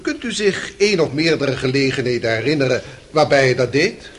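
An actor playing an insistent public prosecutor in a radio play, questioning formally and probingly. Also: male voice, mid-range voice, lively intonation, brisk, slightly rough.

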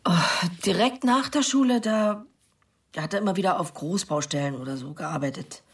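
An actress playing a plain-spoken, rueful middle-aged mother in a radio crime drama, with a husky, halting voice. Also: on the low side. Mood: hesitant, pensive. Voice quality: husky, breathy, gravelly. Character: plain-spoken working-class mother, rueful about her brother.

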